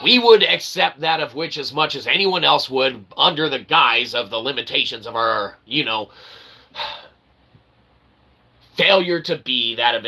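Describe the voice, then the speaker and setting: A man hosting his own channel, rambling in a monologue that swings from mutters to sudden loud outbursts and keeps asking "okay?". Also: medium pitch. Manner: peppers talk with "okay?" and "literally". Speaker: self-published author and channel host.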